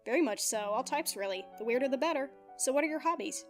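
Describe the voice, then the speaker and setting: A woman's voice: high voice, expressive, fast, soft background music. A woman voicing a friendly, chatty young woman who loves animals.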